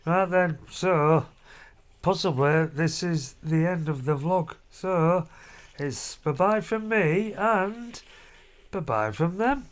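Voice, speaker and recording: A man's voice: on the high side, animated, takes it slowly. A middle-aged man, a father chatting casually in a family vlog from a hotel room, rambling and down-to-earth. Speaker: vlogger, father.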